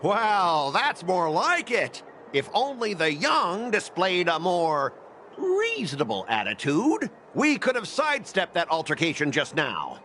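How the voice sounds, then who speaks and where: A man's voice: sits high, wildly swooping pitch, unhurried, sharp tone, a little hiss or hum, heavily acted. A man playing an irritable, blustering middle-aged corporate cargo trader in a video game, exasperated and sarcastic in a theatrical way. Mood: suspicious, smug.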